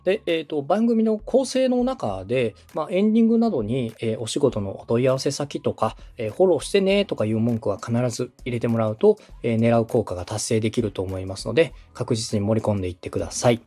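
Lively, husky-voiced male podcast coach. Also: mid-range voice, quick pace, few pauses, faint music behind. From Osaka, Japan. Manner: polite, explanatory, encouraging. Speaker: podcast host, coach, radio director. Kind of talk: instructional advice in a podcast dialogue.

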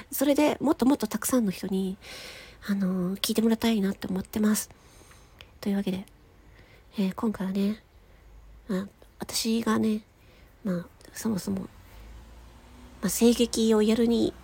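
A woman's voice: a little high, mellow, slightly rough, no room sound. A woman podcast host chatting casually and enthusiastically, with emphatic, giggly delivery. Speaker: podcast host and amateur voice actress.

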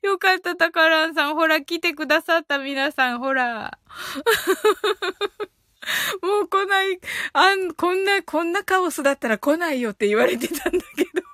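A woman's voice: very high voice, lively intonation, measured pace, pushed and bright, no echo, clean studio sound. A woman hosting a casual live audio chat, reading out listener comments in a chatty, polite way and often giggling as she talks. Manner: giggly, laughs often while talking.

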